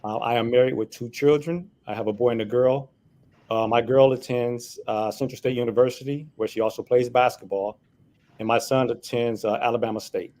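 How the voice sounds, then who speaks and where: A middle-aged man from Michigan with an American accent, talking politely and in a measured way as a panel guest. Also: mid-range voice, steady tone, a little mellow, a little hiss or hum. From Saginaw, Michigan, USA. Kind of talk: polite conversational introduction.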